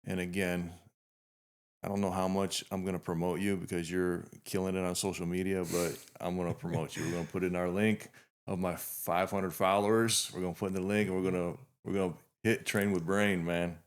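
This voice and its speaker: A man in his fifties hosting a casual podcast interview, talking in a relaxed, rambling way with frequent restarts. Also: low-pitched, brisk, clear, resonant tone. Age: 50s. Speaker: podcast host.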